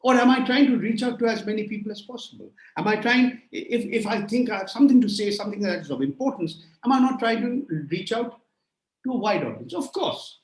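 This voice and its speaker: Man in his sixties, high voice, emphatic lecturing, echoey room on a video call. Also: expressive, a little fast. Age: 60s. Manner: emphatic, rhetorical, repeats phrases in lists. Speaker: guest speaker. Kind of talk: lecture answering audience questions.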